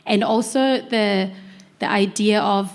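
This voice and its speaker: A woman's voice: mid-range voice, slow pace, gravelly. A woman panelist who speaks earnestly and hesitantly, with many fillers and restarts. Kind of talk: panel discussion.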